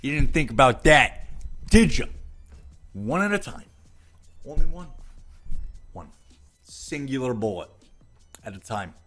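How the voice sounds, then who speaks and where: A man's voice: mid-range voice, very animated, a little slow, pauses often, a bit bright, faint music behind. An American man giving opinionated commentary, reading aloud and reacting with sarcastic, profane scorn. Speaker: video commentator.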